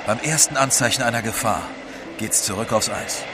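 A man's voice: mid-range voice, normal speed, natural voice. Man as a sober, watchful polar explorer. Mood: grave. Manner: terse, serious. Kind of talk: audio drama acting. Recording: clear outdoor background noise.

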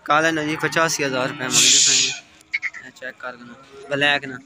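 A man, interviewing sellers at an outdoor cattle market and repeating each price back in a brisk, friendly way. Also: medium pitch, fairly even intonation. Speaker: livestock market vlogger. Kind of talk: casual on-the-spot interviews about prices. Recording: outdoor market with loud crowd. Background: crowd chatter.

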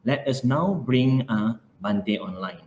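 A middle-aged man hosting a live stream, reading a formal introduction of the guest speaker, careful and halting. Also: mid-range voice, animated, darkish tone. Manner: slow, careful, with pauses and small slips. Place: room indoors. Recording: echoey room with faint noise.

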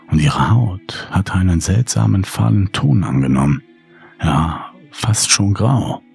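A man's voice: sits low, creaky, a little mellow, breathy edge. A man reading a scripted first-person horror story in an audiobook style.

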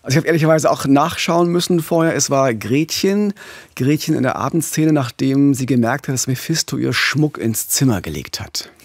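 A man's voice: mid-range voice, normal speed, no room sound. A middle-aged man co-hosting a true-crime podcast, presenting and explaining things in a studio. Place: small radio studio. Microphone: close studio microphone.

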